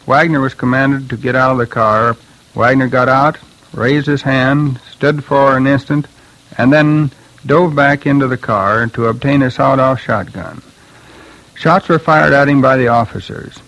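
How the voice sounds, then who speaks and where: A man's voice: mid-range voice, fairly bright tone, rough recording. A man, a former law officer, giving a scripted account in a stiff, official manner.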